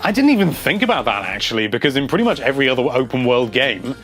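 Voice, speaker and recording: A man's voice: medium pitch, big pitch swings, fast, fairly bright tone, soft background music. A man hosting a casual video, reading out viewer comments and chatting about them in a rambling, enthusiastic way.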